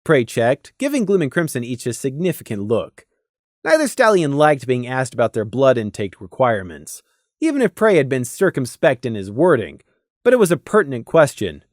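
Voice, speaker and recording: Guy narrating a fantasy audiobook, medium pitch that moves around a lot, dry sound. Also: sing-song, normal speed, no room sound. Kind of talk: scripted fiction narration.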